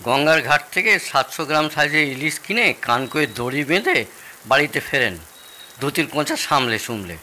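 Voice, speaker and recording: An actor playing a proud, excitable host in his sixties in an audio drama, theatrical, boastful and needling. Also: fairly high pitch, normal speed, a little hiss or hum. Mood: indignant, boastful. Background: rain.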